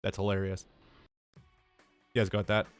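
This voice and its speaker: A young man with a tired, raspy voice, talking casually and rambling to his gaming channel's viewers, with lots of fillers and some swearing. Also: lowish voice, completely flat delivery, moderate pace, soft background music. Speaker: gaming YouTuber. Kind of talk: casual year-review vlog.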